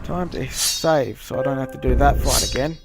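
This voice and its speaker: A man's voice: mid-range voice, normal speed, vocal fry. A man with an Australian accent chatting casually while he plays a game, rambling and swearing freely. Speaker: gamer playing on stream. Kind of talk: casual gaming chat. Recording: noisy game capture.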